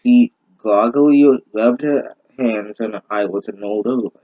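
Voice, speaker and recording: A man's voice: fairly low pitch, a little slow, mellow, highs cut off. A man giving a mocking reading of fan fiction, breaking in with exasperated, sarcastic, sweary asides. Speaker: host of a bad-fanfiction reading series.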